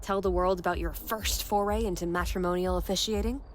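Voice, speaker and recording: A woman's voice: lowish voice, steady tone, a little fast, close to an everyday voice. Wry young heroine, acted by a woman. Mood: wry, playful. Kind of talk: audio drama acting. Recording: faint background ambience and noise.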